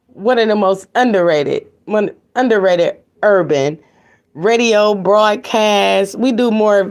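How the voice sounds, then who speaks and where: A woman hosts her show from home, her voice hoarse and raspy from a cold and a stuffy nose. She talks loosely and warmly to her audience, repeating phrases as she goes. Her pitch sits in an ordinary middle range for a woman. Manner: chatty, repeats phrases, talks straight to viewers. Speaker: host of an online news talk show. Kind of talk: casual livestream chat. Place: home with TVs on in the background.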